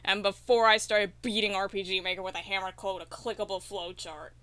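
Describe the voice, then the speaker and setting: A woman speaking as a guest in a casual interview, animated and wry, poking fun at herself. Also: mid-range voice, a little fast, no echo. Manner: animated, wry, self-mocking. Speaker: podcast guest, indie game developer.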